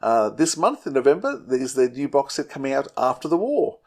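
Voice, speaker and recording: A male podcast interviewer chats casually. He talks fast and with lively pitch movement in a mid-range voice.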